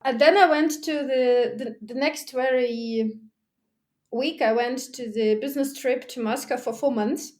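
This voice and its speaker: A woman with a high voice tells her diving story in a casual interview. She laughs often, acts out what she said back then, and keeps restarting with lots of "like". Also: unhurried. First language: not German. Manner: lively, laughs often, acts out her own past remarks; restarts and fillers like "like". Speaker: guest, technical diver and underwater photographer.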